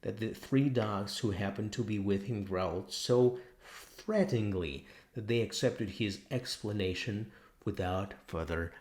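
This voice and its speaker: Low-voiced man narrating a novel. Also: measured pace, darkish tone. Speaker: audiobook narrator.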